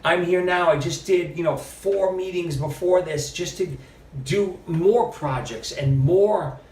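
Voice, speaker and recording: A middle-aged man, a podcast guest, telling stories in a casual, animated way and acting out what other people said. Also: high voice, measured pace, a little hiss or hum. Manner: animated storyteller, quotes others with lively shifts. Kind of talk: casual storytelling interview. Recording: roomy, mic at a distance.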